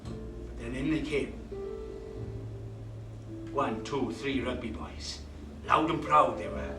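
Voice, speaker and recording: An actor playing a volatile, violent jilted man. He swears heavily and swings from hushed confiding to roaring rage. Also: medium pitch, slow. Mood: tender. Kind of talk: theatrical monologue. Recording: echoey room, distant mic, music behind.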